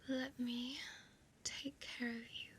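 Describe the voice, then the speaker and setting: A woman's voice: fairly high pitch, little pitch movement, slight background noise. Woman playing a whispering, possessive female vampire. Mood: tender, coaxing. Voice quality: breathy whisper. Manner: slow, intimate, coaxing, formal old-fashioned phrasing. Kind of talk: ASMR audio roleplay.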